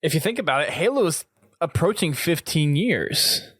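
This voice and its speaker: A man hosting a casual podcast discussion, laying out his points in a measured, deliberate way. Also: fairly high pitch, expressive, a bit bright, slightly rough, no echo, studio quality. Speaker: podcast host.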